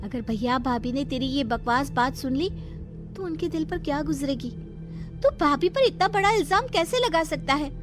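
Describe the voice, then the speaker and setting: A woman's voice: sits high, expressive, normal speed. A woman playing a worried young sister in a cartoon family drama, with an emotional delivery. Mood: scolding disbelief. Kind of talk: dramatic cartoon story acting. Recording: music behind the voice.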